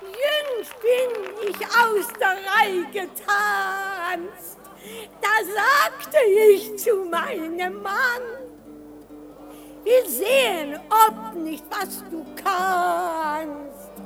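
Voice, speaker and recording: A rebellious common wife in a radio play. The voice is piercingly high and theatrical, singing out slow, cheeky verse over clear music. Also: female voice, crowd noise, exaggerated voice. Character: cheeky rebellious married woman of the common folk.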